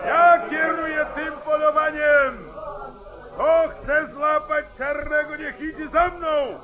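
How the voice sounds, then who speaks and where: An actor playing a brutal, domineering manhunt boss who barks threatening commands, in an old Polish radio drama. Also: male voice, very high-pitched, steady tone, slow, pushed and bright, muffled recording, full character voice. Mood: angry, commanding. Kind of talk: mid-century Polish radio drama acting. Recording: old 1940s radio recording, crowd.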